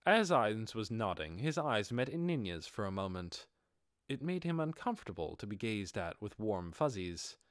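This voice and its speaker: Lively male audiobook narrator, dry sound. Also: on the low side, normal speed, dry recording. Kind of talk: expressive scripted fantasy narration.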